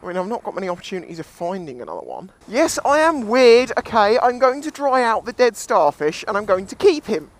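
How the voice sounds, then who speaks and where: A man's voice: high voice, huge pitch swings, fairly quick, few pauses. A British man giving casual, jokey, sweary commentary as he vlogs outdoors on a seashore. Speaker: beachcombing vlogger.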